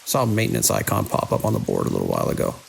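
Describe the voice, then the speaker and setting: An actor playing a weary, watchful security chief in an audio drama, speaking in a dry, measured way. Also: male voice, very deep voice, huge pitch swings, vocal fry, heavily acted. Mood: dry, commanding. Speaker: security lead. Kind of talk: audio drama, logs and radio dialogue. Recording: hiss and rain-like noise.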